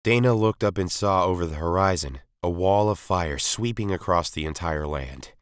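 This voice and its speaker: Low-voiced man narrating, close and dry. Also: moderate pace, clear, resonant tone, no room sound. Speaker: story reader. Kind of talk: scripted narration of science fiction story.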